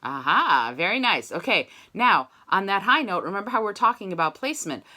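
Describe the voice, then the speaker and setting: A woman leads a singing class over a video call. Her pitch swings widely as she explains and sings quick demo notes. She is encouraging and talks things through. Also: very animated, bright, forceful tone. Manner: encouraging, demonstrates sung vowels. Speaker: voice teacher. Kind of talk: online singing lesson.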